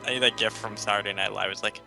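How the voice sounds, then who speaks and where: A man's voice: fairly low pitch, fairly even intonation, rapid-fire, gravelly, bright, forceful tone, soft background music. Dry-joking young man. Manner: dry wisecracking. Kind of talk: casual chat during a dramatic reading.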